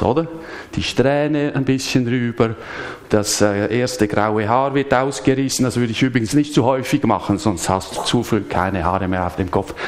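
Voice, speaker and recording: Man preaching a lively chatty sermon. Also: mid-range voice. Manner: lively, chatty, asks the congregation questions. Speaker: pastor. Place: church service.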